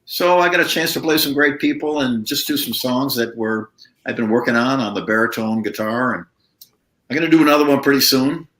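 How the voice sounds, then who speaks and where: A male musician guest in a casual interview, telling stories in a relaxed way with many fillers, over a video call. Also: mid-range voice, fairly quick, roomy sound, low-quality recording.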